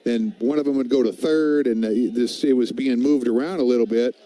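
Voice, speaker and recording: A man's voice: mid-range voice, a little fast, mellow. A man giving informal, opinionated color commentary on a baseball game in a conversational way. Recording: faint background noise.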